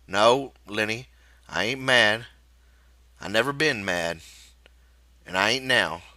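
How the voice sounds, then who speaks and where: Guy doing a tired ranch worker calming his buddy, folksy talk, bit raised and tense, audiobook. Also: on the low side, slow pace, no echo, voice pushed up, exaggerated voice. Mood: tender, strained. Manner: rustic folksy speech, reassuring. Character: weary, protective ranch hand soothing his friend.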